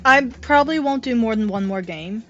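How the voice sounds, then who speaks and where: A woman's voice: fairly high pitch, lively intonation, fairly quick, a bit bright. A woman chatting casually as a co-player during a game stream, over voice chat. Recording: dull lo-fi voice chat, faint noise.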